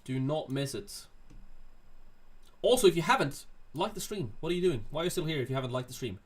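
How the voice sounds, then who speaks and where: A man's voice: on the high side, animated, brisk, breathy edge. Casual man hosting a livestream. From Sweden. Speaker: livestream host answering chat questions. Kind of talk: casual livestream chat, reading and answering viewer questions.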